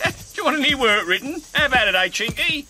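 An actor playing a cocky, boastful jockey in a radio drama, brash and slangy. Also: male voice, very high-pitched, lively intonation, fast talker, pushed and bright, a little hiss or hum, full character voice.

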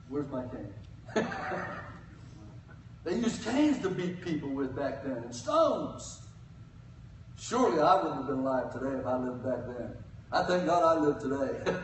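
An older man preaching a sermon from a church pulpit, swinging between loud cries and quiet asides. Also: a little high, a little hiss or hum. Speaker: guest preacher. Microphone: pulpit mic, filmed from the pews. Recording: echoey church from far off.

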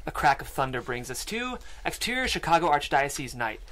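A man's voice: on the high side, very animated, brisk, fairly bright tone. A man reading stage directions as narrator at a scripted table read, on a close studio microphone. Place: small podcast studio.

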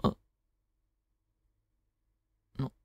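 Young guy playing a high school boyfriend, casual and soft, teasing but sweet, takes his time, close dry audio. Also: no room sound. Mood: apologetic. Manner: casual, soft, hesitant pauses. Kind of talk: Japanese boyfriend voice drama, intimate one-sided dialogue.

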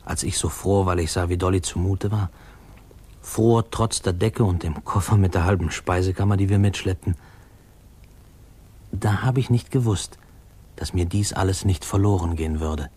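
An actor playing a wistful man narrating his boyhood memories in a measured, literary 1950s radio drama style. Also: low-pitched, a little mellow, breathy edge. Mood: wistful, lyrical. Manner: reflective, measured storytelling.

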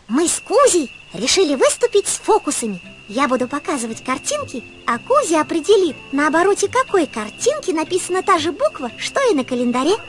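A woman voicing a friendly young creature who kindly guides the player in a children's game. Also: very high voice. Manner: gentle, encouraging, guiding the player. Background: music.

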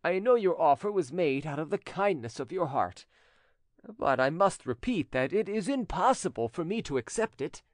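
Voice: medium pitch, lively intonation, moderate pace, dry recording, clean studio sound, voice pushed up, lightly acted. A narrator voicing a proud, scheming male French notary who feigns innocence, in an audiobook story. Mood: wounded dignity.

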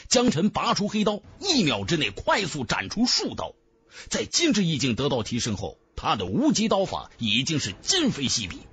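A man's voice: fairly high pitch, huge pitch swings, normal speed, a bit bright, breathy edge. A man narrating a fantasy novel as a dramatic scripted audiobook. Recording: music bed under the voice.

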